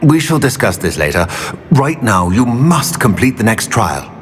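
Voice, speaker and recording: An actor playing a grave old wizard in a video game, speaking in a formal, dramatic manner. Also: male voice, medium pitch, very animated, unhurried, faint music behind. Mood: urgent alarm. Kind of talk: video game character acting.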